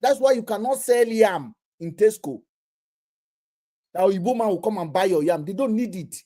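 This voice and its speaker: A man with a Nigerian accent, arguing forcefully in a heated casual debate and pressing others with questions. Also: high voice, animated, normal speed.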